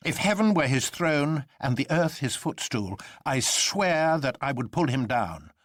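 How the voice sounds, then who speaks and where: A man voicing an excitable, reckless young man in a storybook reading, with an impassioned, declamatory manner. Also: mid-range voice, unhurried, no echo, studio quality, pitched above the performer's own voice. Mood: defiant.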